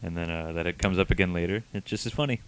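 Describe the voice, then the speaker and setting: A man's voice: low-pitched, quick pace, clean tone. A man co-hosting a review show, chatting and bantering casually. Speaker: comic reviewer, co-host.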